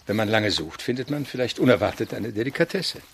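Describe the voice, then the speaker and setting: An actor playing a thoughtful, wry composer who loves mushrooms in a German radio drama, speaking in a gravelly, deliberate, politely teasing way. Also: male voice, lowish voice, animated, a little hiss or hum. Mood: musing. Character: thoughtful, wry male avant-garde composer and mushroom lover.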